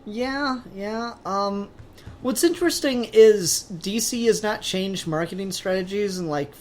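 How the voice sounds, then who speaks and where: A man's voice: high voice, a little slow. Casual, joking male podcast host. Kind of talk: casual conversational podcast talk.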